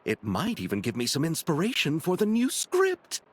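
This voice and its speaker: A man's voice: a little high, huge pitch swings, moderate pace, a little hiss or hum. An actor playing a hesitant, polite young male scriptwriter. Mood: tentative. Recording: game audio with faint music.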